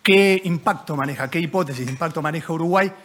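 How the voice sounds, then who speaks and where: Man, Buenos Aires accent, fairly high voice, journalist, formal press conference questioning, deliberate phrasing. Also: fairly bright tone. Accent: Buenos Aires Rioplatense. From Buenos Aires, Argentina.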